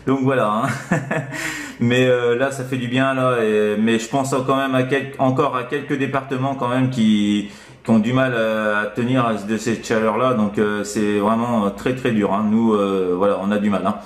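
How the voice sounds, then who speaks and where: A man with a medium-pitched voice talks casually into his radio microphone, feeling his way through phrases in a halting manner. The room around him is clearly echoey. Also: steady tone. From France. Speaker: amateur radio operator. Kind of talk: casual radio contact chat. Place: indoors, sixth-floor apartment.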